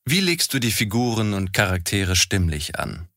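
Bright-voiced man asking questions. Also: lowish voice, big pitch swings, normal speed, no echo, clean studio sound. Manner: short, direct questions. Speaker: interviewer. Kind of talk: reading prepared questions.

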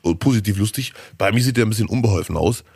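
A man with a slightly hoarse, scratchy voice from a cold, co-hosting a casual podcast and telling rambling stories full of fillers. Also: on the low side, racing, a little mellow, no room sound. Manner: chatty, storytelling, rambling with fillers. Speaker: podcast co-host.

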